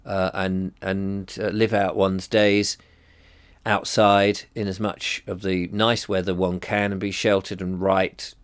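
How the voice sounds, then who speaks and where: A man's voice: low-pitched, no room sound. A middle-aged man with a blocked-up nose, musing hesitantly to camera with many ums and restarts. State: congested, bunged up. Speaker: vlogger. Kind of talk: rambling personal reflection to camera.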